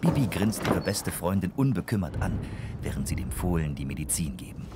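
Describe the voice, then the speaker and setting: A man's voice: deep voice, sing-song, fairly quick, background noise. A man narrating a children's radio play from a script.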